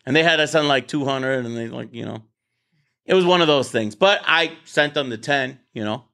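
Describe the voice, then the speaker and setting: A man's voice: medium pitch, moderate pace, creaky, sharp tone. A man hosting a casual debate show in his office, talking bluntly, swearing and repeating words as he starts sentences. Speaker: podcast host.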